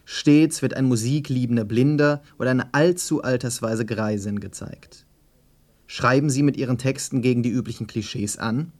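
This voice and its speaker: A man working as interviewer and presenter, reading scripted commentary and questions in a formal, measured, literary manner. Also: mid-range voice, a little mellow. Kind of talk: scripted radio feature narration and questions.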